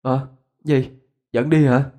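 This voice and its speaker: A man's voice: mid-range voice, steady tone, slow, dark tone, pitched above the performer's own voice, close to an everyday voice. A man playing a young male student in a ghost story, a concerned friend who talks colloquially and hesitantly. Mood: groggy.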